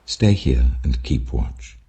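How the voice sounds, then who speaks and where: A British man acts out a grave male teacher of great authority in a dramatised scripture reading. The voice is low and gravelly, rising and falling expressively. Each phrase is solemn, deliberate and emphatic. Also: lively intonation, warm tone, exaggerated voice. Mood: sorrowful.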